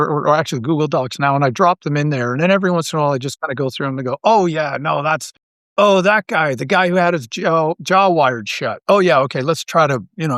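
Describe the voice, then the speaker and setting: A man from Canada chatting casually as an interview guest, an animated storyteller who rambles and repeats his phrases. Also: a little high, no echo, clean studio sound. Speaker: interview guest, audio drama writer.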